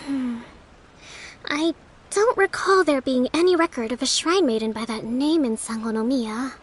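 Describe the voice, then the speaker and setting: A woman playing a gentle young priestess who leads her people in a fantasy game, speaking in a measured, thoughtful, polite way. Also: high-pitched, slightly rough. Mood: pensive. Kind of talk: scripted fantasy game acting.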